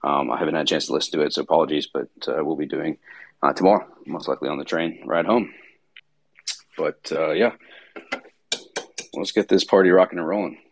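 Very deep raspy male host. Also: a little fast, long pauses, no echo. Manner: rambling, heavy with fillers and restarts. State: tired. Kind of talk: casual market-review livestream chat.

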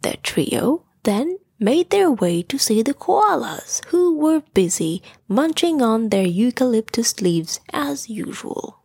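Husky, creaky woman telling a bedtime story. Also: on the high side, sing-song, slow, hardly any pauses, a little mellow, no echo, studio quality. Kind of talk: children's bedtime story narration.

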